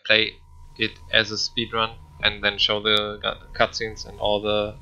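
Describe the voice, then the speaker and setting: A man's voice: fairly low pitch, pushed and bright, poor audio. A man giving casual, rambling gameplay commentary in hesitant, non-native English. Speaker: speedrunner commentator.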